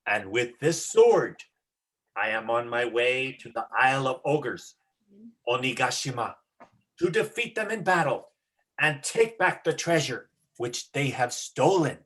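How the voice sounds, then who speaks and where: A young folktale hero acted by an amateur reader, earnest and brave. The delivery is very slow and deliberate, with a solemn yet highly varied melody. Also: animated, a bit bright. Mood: bold. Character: earnest, brave fifteen-year-old boy hero. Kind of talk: amateur dramatic reading of a folktale.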